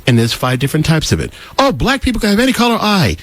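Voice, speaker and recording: A man's voice: a little high, wildly swooping pitch, fast, a bit bright, no echo. A man giving an opinionated, explanatory talk with anecdotes in an emphatic way, acting out remarks he quotes.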